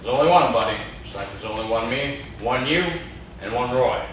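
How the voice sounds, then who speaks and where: A man's voice: medium pitch, fairly quick, fairly bright tone, close to an everyday voice. Young man playing a stern old dog lover, echoey. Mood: mournful. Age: young adult. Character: menacing, mournful old dog-loving cabin man with a rifle. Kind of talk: amateur stage play acting. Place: classroom, indoors. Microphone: far camera. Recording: echoey classroom, distant camera mic, noisy.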